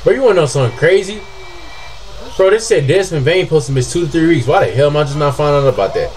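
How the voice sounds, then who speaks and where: A young man streaming reactions to a basketball game from a small room, talking casually in slang over a desk mic. His deep voice jumps way up when he hollers and leaps around with big swings in pitch. A light hiss sits under the audio. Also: fast talker. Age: young adult. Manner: excitable, yelps and hollers, slangy trash talk. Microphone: webcam and desk mic.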